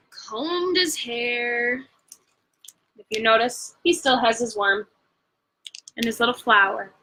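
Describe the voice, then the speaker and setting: A woman reads a children's bedtime story in a fairly high, bright voice. She takes her time, stretching key words for emphasis and speaking directly to her young audience. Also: low-quality recording. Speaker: picture-book reader. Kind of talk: bedtime story read-aloud with asides to viewers.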